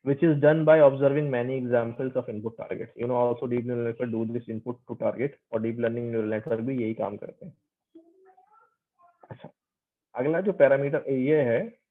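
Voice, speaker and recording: A man's voice: medium pitch, narrow, tinny audio. A man giving an explanatory lecture as a teacher, conversational, mixing Hindi-Urdu with English and checking understanding with questions.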